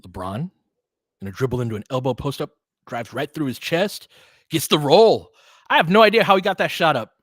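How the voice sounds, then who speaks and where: Animated man calling a basketball game. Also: a little high, brisk, no room sound, clean studio sound. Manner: clipped phrases, informal, reacts emotionally to plays. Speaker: play-by-play commentator and host. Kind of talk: casual, fan-biased live basketball commentary.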